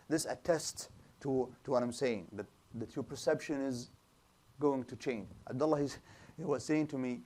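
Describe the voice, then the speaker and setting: A man's voice: medium pitch, a little mellow. Man teaching a lecture class. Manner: explanatory, asks the class rhetorical questions, frequent restarts. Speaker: Islamic studies teacher. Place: small lecture room with a projector screen.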